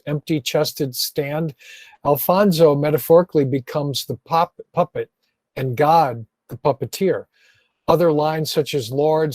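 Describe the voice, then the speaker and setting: A man's voice: a little high, a little mellow, no echo. A man moderating a literary discussion, reading out a prepared question in formal, academic wording.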